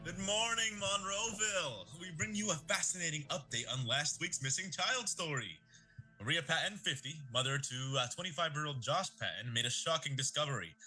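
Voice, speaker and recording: A man's voice: fairly high pitch, lively intonation, a little fast, pushed and bright, faint music behind, exaggerated voice, rough recording. A man playing a theatrical local morning news anchor in an audio drama. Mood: dramatic. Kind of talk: newscast parody in audio drama.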